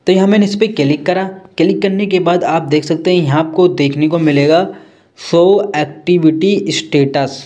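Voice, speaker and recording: A man's voice: a little high, normal speed, a little mellow, muffled recording. A man narrating a step-by-step tech tutorial in a repetitive, instructional way. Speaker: tutorial host.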